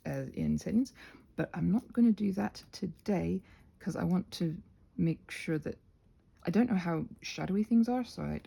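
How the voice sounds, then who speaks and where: Husky, creaky-voiced woman chatting over crafts. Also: on the low side. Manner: expressive, self-deprecating, meandering and tentative. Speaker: channel host. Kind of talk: rambling craft ASMR chat.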